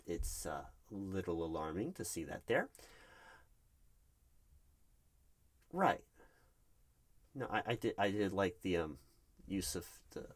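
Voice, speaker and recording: Guy doing a nervous hr manager roleplay, lowish voice jumping all over, stutters a lot, awkward and polite. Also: full character voice. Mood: uneasy, alarmed. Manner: stammering, hesitant, awkwardly polite. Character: nervous, flustered, polite male recruiting manager. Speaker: roleplay performer. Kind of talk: one-sided interview roleplay.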